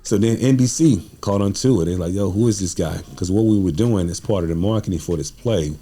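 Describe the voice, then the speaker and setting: A man's voice: deep voice, brisk, warm tone, slight background noise. A man in his forties telling stories casually as an interview guest, in earnest, informal, street-inflected talk. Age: 40s. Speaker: playwright and producer, podcast guest.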